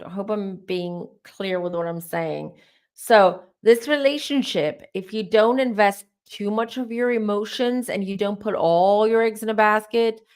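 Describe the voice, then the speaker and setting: A woman hosting a live-stream tarot Q&A, her voice starting to go hoarse, who rambles as she gives advice straight to her viewers. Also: mid-range voice. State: voice going hoarse. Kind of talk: live-stream spiritual Q&A, answering chat questions.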